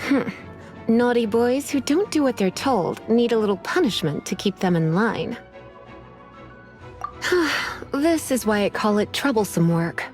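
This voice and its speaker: A woman voicing a sultry, teasing librarian who speaks in a languid, gently threatening way, in a video game with music behind. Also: on the high side, lively intonation, measured pace. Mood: weary.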